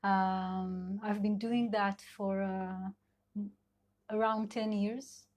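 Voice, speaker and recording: A middle-aged woman with an Israeli accent, a sex and couple therapist whose first language is Hebrew, giving a live online talk in a gentle, searching way with many fillers. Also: medium pitch, flat delivery, extremely slow. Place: at home in Israel.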